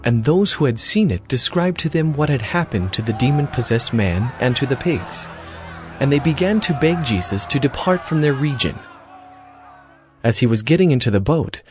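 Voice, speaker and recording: Man, audio Bible narrator, mid-pitched voice, scripted storytelling, faint music underneath. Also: animated, moderate pace, darkish tone.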